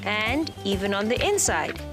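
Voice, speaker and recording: A woman presenting a children's show, gently and encouragingly guiding the kids through a craft. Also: medium pitch, sing-song, moderate pace, loud music behind the voice.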